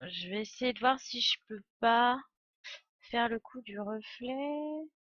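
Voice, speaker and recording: A woman's voice: on the high side, animated, slow, no room sound, dull audio. A woman streamer giving casual live game commentary, thinking aloud in a hesitant way and correcting herself. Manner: hesitant, musing, self-correcting. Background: soft game music.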